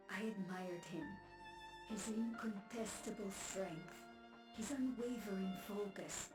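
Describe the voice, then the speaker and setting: An actress playing a lovestruck, fragile woman in a video game, speaking in a hushed, halting, wistful way. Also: mid-range voice, far from the mic, natural voice. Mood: wistful longing. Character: lovestruck, fragile woman confessing devotion to her commander, a mother. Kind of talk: dramatic video game monologue. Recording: roomy echo under music.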